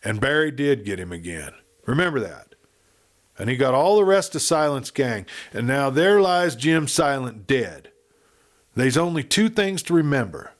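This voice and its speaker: A man's voice: mid-range voice, animated, moderate pace, lightly acted. Gray-haired western cowpuncher, acted by a male reader: plain-spoken, folksy, firm, declaring to a crowd. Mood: emphatic, decisive. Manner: folksy, declarative frontier speech. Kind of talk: western storybook character speech.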